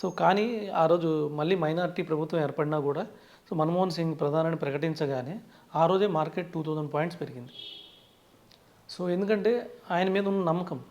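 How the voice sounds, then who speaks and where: Higher-pitched Telugu man explaining in an interview. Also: brisk, a little mellow, muffled recording. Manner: frequently opens sentences with "so". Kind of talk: explanatory interview talk mixing Telugu with English terms.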